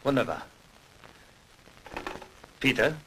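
A man's voice: medium pitch, sing-song, slow, pushed and bright, a little hiss or hum, full character voice, rough recording. A man playing a curt, impatient boss in a horror audio drama. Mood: brusque.

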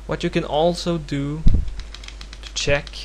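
A man from Norway speaking English as a second language with a Norwegian accent, giving a casual, unscripted software tutorial in a hesitant, rambling, self-deprecating way. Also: on the high side, little pitch movement, slow. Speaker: tutorial presenter. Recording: home recording with clear background noise and hum.

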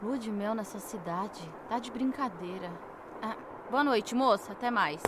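An actress with a Brazilian accent playing an irritable, curt, sarcastic journalist in an audio drama. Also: female voice, mid-range voice, unhurried, noisy background, lightly acted. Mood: annoyed.

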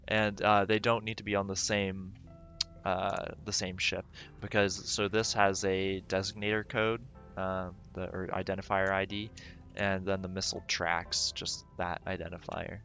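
A man streaming a game, rambling casually and thinking aloud with lots of fillers, his voice going raspy. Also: low-pitched, level tone, unhurried, a bit bright, soft background music. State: voice getting raspy. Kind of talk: casual gameplay livestream commentary.

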